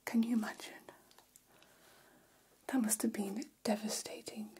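An elegant vampire hairstylist, played by a woman, whispers in a very breathy, mellow voice. She confides salon gossip slowly and soothingly, close to the mic, in an ASMR role-play. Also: medium pitch, fairly even intonation. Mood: hushed, confiding. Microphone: close ASMR microphone.

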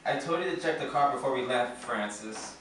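A man's voice: medium pitch, brisk, fairly bright tone, close to an everyday voice. A man playing an exasperated, bossy traveller in an amateur radio play. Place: open indoor studio tour space, group around stand mics. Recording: very echoey room, distant microphone, faint noise.

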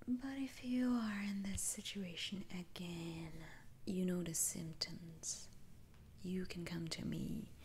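A woman whispering an ASMR role-play as a kindly, slightly teasing witch doctor, soothing and hushed. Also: mid-range voice, slight background noise, natural voice.

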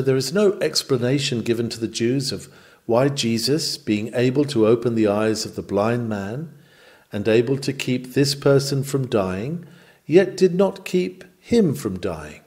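A man reading a sermon aloud with emphatic, measured, oratorical phrasing in a rhetorical preaching style. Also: mid-range voice, darkish tone. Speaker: sermon reader.

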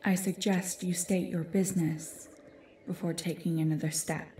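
A woman's voice: lowish voice, level tone, normal speed, mellow, slight background noise, natural voice. A woman playing an ancient, aloof forest guardian spirit who speaks formally and commandingly in a fantasy audio drama. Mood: stern warning. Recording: echoey, distant-sounding voice.

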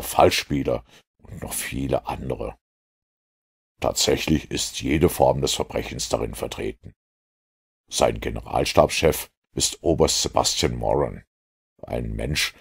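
Guy reading as a superior lecturing detective, super deep and creaky, measured audiobook delivery. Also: exaggerated voice. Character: self-assured, lecturing male master detective. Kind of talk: audiobook character dialogue.

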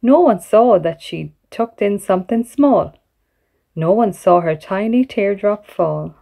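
A woman reading a children's picture book aloud as an expressive bedtime story. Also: mid-range voice, unhurried, creaky. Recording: music playing behind the voice. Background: background music.

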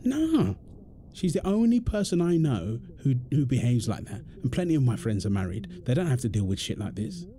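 A man's voice: medium pitch, sing-song, moderate pace, warm tone, close to an everyday voice. A British man acting an exasperated, protective husband in a confrontational audio roleplay drama, speaking emphatically. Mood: firm, frustrated. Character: exasperated, protective husband arguing with his overbearing mother-in-law. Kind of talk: ASMR roleplay drama monologue.

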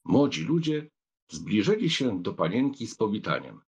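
A man's voice: fairly low pitch, very animated, normal speed, vocal fry, a little mellow, slightly rough, muffled recording. A man reading scripted literary narration for an audiobook.

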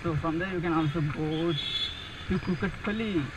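A young man with an Indian English accent, chatting casually about landmarks as he rides a motorcycle through city streets. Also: on the high side. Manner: relaxed, pointing out landmarks with pauses and fillers. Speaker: motorcycle vlogger. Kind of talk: casual guided-tour narration while riding. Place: riding a motorcycle on city roads, outdoors. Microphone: action camera mounted on the bike. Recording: wind and traffic noise on an action camera.

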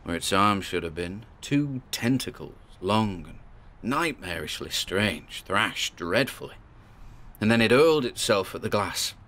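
A young British paranormal investigator with a fairly low voice. He talks like a podcaster in a horror audio story, informal and wry, with an uneasy, hesitant edge to his storytelling. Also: animated, measured pace, a bit bright. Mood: reminiscing, uneasy. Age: 20s. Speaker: narrator and performer. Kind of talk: horror audio fiction in the form of a podcast monologue.

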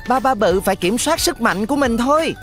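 A performer voicing a cheeky, playful little boy with a teasing, childlike manner in an animated cartoon. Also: mid-range voice, fairly quick, soft background music, close to an everyday voice.

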